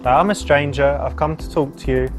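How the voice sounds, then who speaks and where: A man's voice: on the high side, steady tone, fairly quick, soft background music. A man talking casually, like a host and dating coach chatting and giving commentary.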